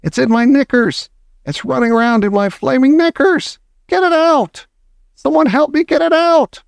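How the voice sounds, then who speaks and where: A man voicing a frantic, panicking male cook in a storybook, yelling his exclamations. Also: very high-pitched, moderate pace, gravelly, dry recording, studio quality, voice pushed up. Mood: screaming panic. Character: panicking male cook shrieking about a mouse. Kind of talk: storybook character voice.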